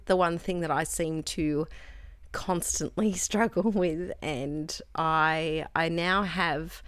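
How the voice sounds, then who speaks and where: A woman from Australia chatting casually while making crafts, rambling and correcting herself, her voice a little croaky. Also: low-pitched, no room sound. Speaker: crafting vlogger.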